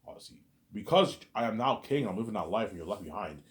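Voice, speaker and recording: A man talking straight to his audience in a rambling monologue, repeating himself, stumbling over restarts, and letting his asides fade quietly. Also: fairly low pitch, expressive, fast. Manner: repetitive, stammers on restarts, trails off quietly on asides. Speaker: solo vlogger.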